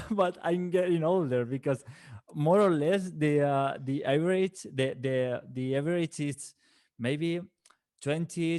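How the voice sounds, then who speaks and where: A man's voice: on the high side, darkish tone. A man in his late thirties from Valencia, Spain, a native Valencian speaker, talking as a guest in a casual interview, often restarting his words and laughing. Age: 30s. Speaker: guest calligrapher.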